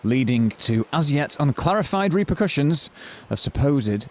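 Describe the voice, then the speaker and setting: A man reads scripted comic narration for an audio drama. His voice sits at a medium pitch and moves around in a lively, expressive way, with a mock-serious delivery. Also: moderate pace, slight background noise.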